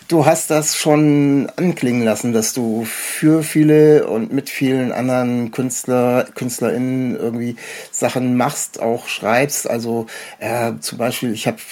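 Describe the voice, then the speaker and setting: Casting: male podcast host, relaxed dark tone, mid-pitched, casual and somewhat hesitant interviewing. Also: takes it slowly.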